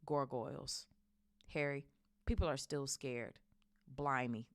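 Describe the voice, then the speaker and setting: Woman acting a gruff, blustering big loyal groundskeeper. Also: rumbling low, steady tone, no echo, heavily acted. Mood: anxious, halting. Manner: blustering, halting, folksy.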